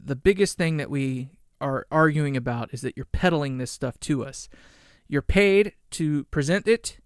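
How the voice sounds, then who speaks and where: A man with a high-pitched voice, ranting bluntly in a vlog with casual swearing. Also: clear, resonant tone, dry recording, studio quality. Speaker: gear channel vlogger. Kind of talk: opinion monologue vlog.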